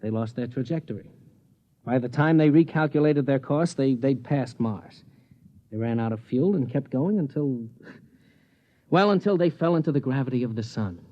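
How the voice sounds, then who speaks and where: An actor playing a devoted but stubborn rocket pilot husband in 1950s American radio drama style. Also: male voice, medium pitch, expressive, normal speed, close to an everyday voice. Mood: earnest, explanatory. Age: adult. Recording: muffled vintage 1950s radio recording.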